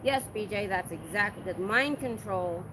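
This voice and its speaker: A woman talks into her phone on a busy city sidewalk, chatting loosely with viewers and answering their comments. Her voice sits at an ordinary middle pitch and lifts sharply when she gets indignant. Passing traffic and street noise are clearly heard under her. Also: normal speed. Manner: animated, rising with emphasis when indignant. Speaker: livestreaming protester. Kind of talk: casual livestream chat, reading viewer comments. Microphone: phone held in hand.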